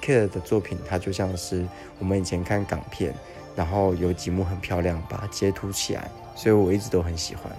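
A man records a casual testimonial message. He speaks in a fairly low voice. Music is clearly heard behind him as he talks. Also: little pitch movement, brisk. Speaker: photo model leaving a recorded message. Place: pre-recorded voice message played over music.

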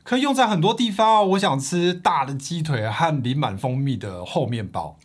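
A man's voice: fairly high pitch, expressive, moderate pace, voice pushed up. A man playing an earnest, naive poor young man of ancient Babylon in a radio drama. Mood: eager. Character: Bansir, earnest poor young Babylonian weapon-shop heir. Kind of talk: radio drama dialogue adapted from a comic.

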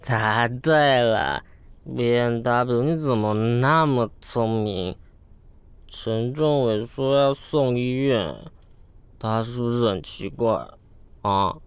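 An actor in an audio drama playing a drunken, loud, foul-mouthed young male writer who gets childishly needy, slurring his words. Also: medium pitch, slow, clean tone, no room sound, natural voice. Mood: drunken, playful.

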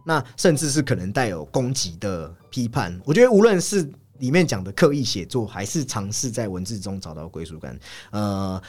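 A man co-hosting a podcast, casually discussing film in an analytical way and often repeating his agreement in quick strings. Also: fairly low pitch, very animated. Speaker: podcast co-host. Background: background music.

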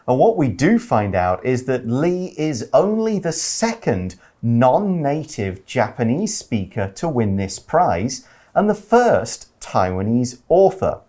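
A man's voice: medium pitch, sing-song, takes it slowly, hardly any pauses, dark tone. A man co-hosting an English-learning podcast, chatting casually as he explains words. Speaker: podcast co-host teaching English. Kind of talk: explaining vocabulary in casual chat.

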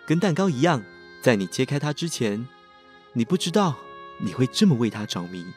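A man's voice: medium pitch, big pitch swings, normal speed, a little mellow, faint music behind, natural voice. A man playing a cheerful, persistent teenage schoolboy with a crush in a radio drama, speaking with a Taiwanese accent in a playful, earnest way. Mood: tender, earnest. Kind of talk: Mandarin boys' love radio drama acting.

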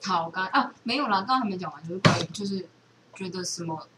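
A woman's voice: on the low side, lively intonation, quick pace, a bit bright. A middle-aged woman with a Taiwanese Mandarin accent chatting casually and candidly as a podcast co-host. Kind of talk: casual chat. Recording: noisy, distant from the microphone.

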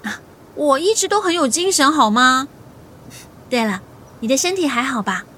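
A perky young woman in a radio drama, teasing and playfully whiny. The voice is very high, with clearly noisy ambience behind it. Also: expressive, normal speed, pushed and bright. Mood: cheerful, teasing. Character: bubbly, playfully whiny young female friend. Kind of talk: audio drama acting.

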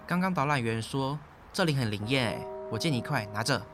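A young man voicing a chatty, teasing high school boy who talks eagerly. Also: expressive, a little fast, over music, natural voice.

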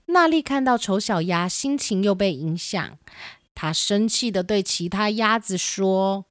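A woman's voice: medium pitch, lively intonation, a little slow, no room sound, clean studio sound. A woman reading scripted narration for a children's storybook tale. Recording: music behind the voice.

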